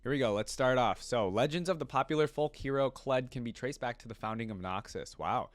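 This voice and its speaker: A man's voice: medium pitch, brisk, no echo. A man hosting a reaction video, reading lore aloud in a casual way with musing asides. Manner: musing asides between read-aloud passages.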